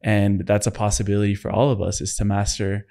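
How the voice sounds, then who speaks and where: A young man hosting an interview podcast, calm and curious in manner. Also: fairly low pitch, brisk, gravelly. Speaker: podcast host. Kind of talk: casual interview talk.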